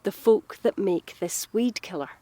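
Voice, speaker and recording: A woman's voice: mid-range voice, unhurried, warm tone, no echo, natural voice. Earnest woman played by an actress, dry recording. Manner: earnest, explanatory. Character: frank, caring working woman, earnest and well-informed. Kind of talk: naturalistic audio drama dialogue.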